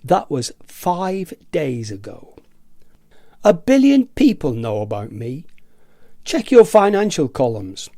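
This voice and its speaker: A man's voice: fairly high pitch, very animated, slow pace, warm tone, dry recording, clean studio sound, full character voice. A man acting an indignant, eccentric rebel in a radio play, theatrical and emphatic in his delivery. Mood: smug. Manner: theatrical, emphatic, mimics nagging voices. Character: indignant, eccentric male rebel against noisy gadgets, smugly defiant. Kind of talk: radio play monologue, amateur dramatic reading.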